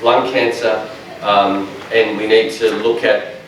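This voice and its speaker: Passionate loud-voiced man lecturing. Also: on the low side, level tone, slow pace, slight background noise. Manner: loud strong voice, passionate and jokey. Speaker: dietitian presenter. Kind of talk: lecture to health workers. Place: large echoey training room. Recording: echoey room, speaker far from mic.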